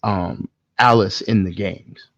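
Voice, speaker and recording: Male podcast co-host: creaky voice, slangy, profane, opinionated, slightly dull but dry recording. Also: lowish voice, fairly even intonation, takes it slowly, no room sound. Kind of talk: casual film discussion.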